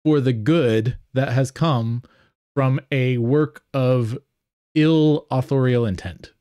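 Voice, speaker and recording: Male panelist, mid-pitched, deliberate and halting, conversational discussion, very dry recording. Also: dark tone, clean tone, no room sound. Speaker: podcast panelist.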